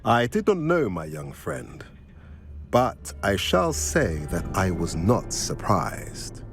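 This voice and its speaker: A cryptic old centaur seer speaks slowly and solemnly in a video game. His low voice rises and falls widely as he unfolds prophecy. Soft game music plays underneath. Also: huge pitch swings, exaggerated voice. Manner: slow, measured, solemn and riddling. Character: wise old male centaur stargazer, calm and cryptic.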